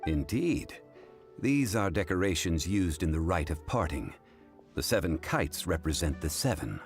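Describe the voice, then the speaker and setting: A deep-voiced scholarly gentleman in a video game. He is calm, formal and dignified, with richly varied intonation over background music. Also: heavily acted. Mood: calm, appreciative. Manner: formal, eloquent, measured.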